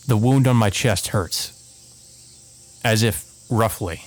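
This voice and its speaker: A man's voice: fairly low pitch, slow pace. A man hosting a let's play, reading visual novel narration aloud and adding casual asides, with game music behind him.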